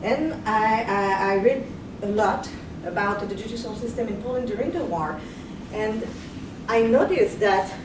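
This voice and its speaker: A young woman giving a history lecture, partly read from notes, measured and earnest, stopping now and then to rephrase. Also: medium pitch. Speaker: guest lecturer. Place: lecture room. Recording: echoey room, far mic, background noise.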